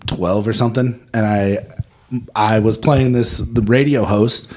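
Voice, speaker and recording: A man in his mid-thirties, a guest musician on a radio show, chatting in an excitable, joking way. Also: on the low side, a little slow, poor audio. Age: 30s. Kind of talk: casual chat.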